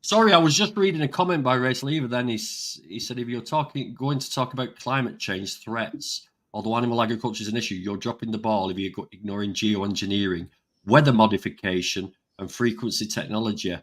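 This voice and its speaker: A man's voice: fairly low pitch, fairly quick, a bit bright. Rambling older man. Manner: chatty, rambling, self-interrupting. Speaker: vegan channel host. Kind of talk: casual live chat.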